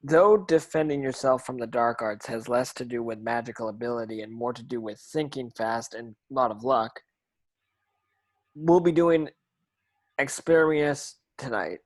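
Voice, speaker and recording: A man's voice: medium pitch, moderate pace, lightly acted. Earnest teenage boy wizard read by a man. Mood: earnest, instructive. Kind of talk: amateur table read of a screenplay.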